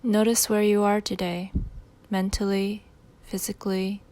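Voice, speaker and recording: A woman leading a guided meditation, giving gentle instructions in short phrases with pauses between them. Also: medium pitch, flat delivery.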